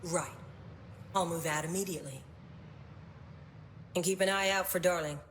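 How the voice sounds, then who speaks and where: A woman's voice: fairly low pitch, steady tone, background noise, close to an everyday voice. An actress playing a stern, guarded female researcher in a video game, formal and businesslike in manner. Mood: stern, insistent. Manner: brisk, formal, no-nonsense. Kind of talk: video game character acting.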